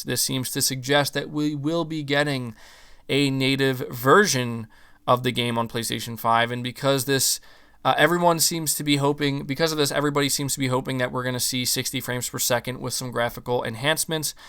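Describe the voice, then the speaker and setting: A young man hosting a gaming podcast, ranting in casual talk with some swearing, his voice starting to go hoarse. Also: on the high side, sharp tone. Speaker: podcast host.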